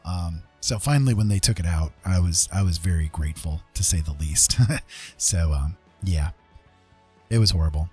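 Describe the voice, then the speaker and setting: Low, husky American man chatting. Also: a little mellow, faint music behind. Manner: halting, often repeats words. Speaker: livestream host. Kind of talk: casual livestream chat.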